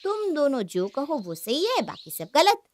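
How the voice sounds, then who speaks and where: A woman's voice: high voice, wildly swooping pitch, a little slow, pitched above the performer's own voice, full character voice. A woman voicing a jealous, sulky young married woman in a cartoon moral story, complaining and argumentative. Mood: sarcastic, aggrieved.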